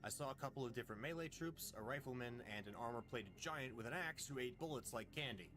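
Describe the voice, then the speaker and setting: A man delivers a prepared game review in an even, deliberate voice of middling pitch. Clear music runs underneath the narration the whole time.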